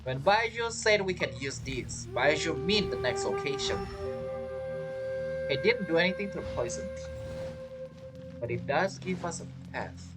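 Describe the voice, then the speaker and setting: A man's voice: a little high, expressive, measured pace, long pauses, poor audio. A man giving casual game commentary, musing aloud, repeating phrases and asking himself questions. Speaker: gamer narrating a playthrough. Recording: game music behind the voice.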